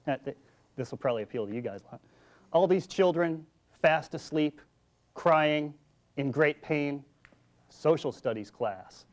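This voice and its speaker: A man's voice: a little high, lively intonation, a little slow, pauses often. A talkative, playful man chatting casually as a guest poet, sometimes reciting short poems. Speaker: guest haiku poet and slam champion. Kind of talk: casual chat with poem recitation.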